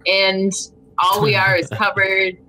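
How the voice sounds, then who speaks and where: A woman's voice: medium pitch, level tone, slow, pushed and bright, poor audio. A woman chatting casually as a guest player in an improvised story game, talking through her moves. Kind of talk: casual chat while playing a game.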